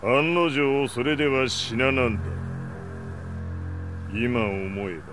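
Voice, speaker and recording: A man's voice: medium pitch, normal speed, close to an everyday voice. A male actor playing a ruthless, proud old martial-arts patriarch in a video game, speaking commandingly in archaic old-man style. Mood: grim, resolute. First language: Japanese. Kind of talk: dramatic video game acting. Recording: dramatic music behind the voice.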